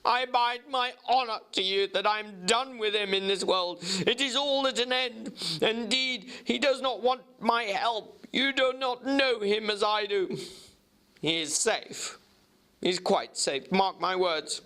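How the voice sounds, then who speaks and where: A man voicing an agitated, feverish gentleman doctor, fervent and faltering, in a dramatic book reading. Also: high-pitched, measured pace, pitched above the performer's own voice, heavily acted. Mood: feverish, desperate. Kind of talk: dramatic audiobook character reading.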